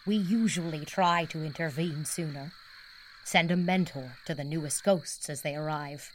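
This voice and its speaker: A woman playing a reflective guide to ghosts, narrating an audio drama in a measured, earnest way. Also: sits low, slight background noise, lightly acted.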